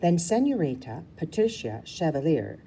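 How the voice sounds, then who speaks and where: Female storybook reader, low and mellow, informal, lively intonation, small slips left in. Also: normal speed, slight background noise. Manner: stumbles and corrects herself. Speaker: reader of a children's novel. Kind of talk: storybook read-aloud, informal.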